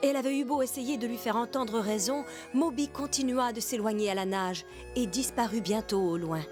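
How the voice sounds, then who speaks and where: A woman's voice: on the high side, normal speed. Woman narrating a children's story over music. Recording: music behind the voice.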